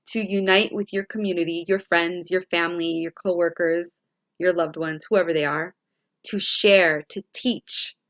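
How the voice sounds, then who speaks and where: A woman with a raspy voice this morning, talking to viewers in a casual, musing way as a spiritual vlogger. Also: mid-range voice, a bit bright. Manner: slow, musing, repeats and restarts phrases. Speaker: spiritual vlogger and tarot reader.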